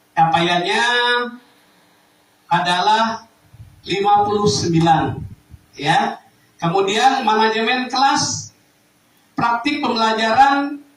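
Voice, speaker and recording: High-voiced man presenting, very echoey room. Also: fairly bright tone. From Indonesia. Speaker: school educator presenting an improvement project to teachers. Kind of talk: formal presentation to colleagues. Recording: echoey room, distant microphone.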